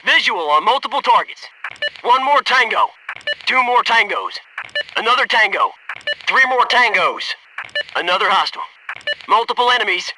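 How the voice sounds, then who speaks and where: Video game soldier: young, cocky, loyal, very animated delivery of clipped military callouts, noticeably noisy recording. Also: male voice, very high voice. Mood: businesslike. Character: young male military sniper-spotter, cocky, keen, loyal squadmate. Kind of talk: video game combat voice lines, short radio callouts.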